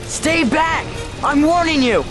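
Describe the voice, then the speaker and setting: Woman acting a high-voiced, frightened possessed boy. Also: animated, slow pace, over music, rough recording. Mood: desperate, warning. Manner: pleading, distressed. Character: frightened young boy possessed by an alien presence. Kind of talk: audio drama acting.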